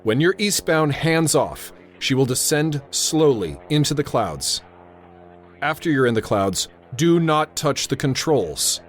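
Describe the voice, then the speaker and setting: An actor playing a tense, authoritative airfield controller in a radio drama, narrating and giving orders with clipped urgency. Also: male voice, a little high, animated, measured pace, slight background noise. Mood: stern, insistent. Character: tense, commanding male airfield tower controller narrating a crisis.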